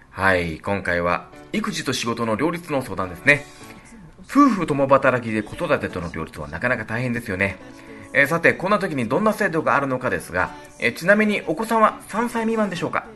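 A man's voice: medium pitch, pushed and bright. A man giving upbeat, polite explanatory advice on the radio as a consultant, in a presenter-like way. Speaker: labor and social security consultant, show regular.

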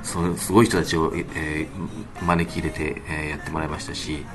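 A man talking on a radio show, explaining things politely with frequent drawn-out hesitations. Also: a bass voice, flat delivery. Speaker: band leader, guest talker on radio show. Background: background music.

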